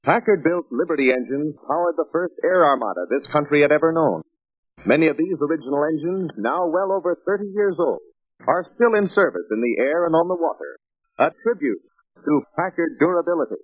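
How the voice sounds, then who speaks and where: 1950s sales-film narrator, American man, higher-set slightly husky voice, emphatic and polished, phone-thin vintage soundtrack. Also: expressive, a little fast. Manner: emphatic, polished announcer delivery. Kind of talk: 1950s promotional scripted narration. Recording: tinny vintage film soundtrack, narrow band.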